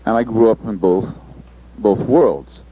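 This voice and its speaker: A man with a fairly low voice speaks thoughtfully, pausing often with small fillers as he presents. The recording is dull and lo-fi, with a faint hum of room ambience underneath. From United States. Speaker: interpreter presenter.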